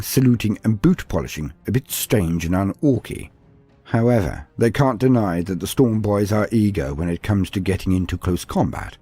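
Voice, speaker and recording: A man's voice: lowish voice, dark tone, slightly rough. A man delivering dramatic scripted narration of fantasy lore with a theatrical storytelling manner.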